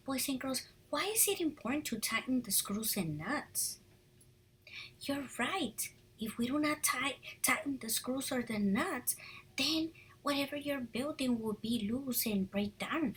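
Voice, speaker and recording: Woman, preschool teacher, picture-book read-aloud, slow pace, lively swooping pitch, husky, encouraging. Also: rough recording. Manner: animated, encouraging, addresses children directly. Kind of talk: read-aloud lesson with questions to young children.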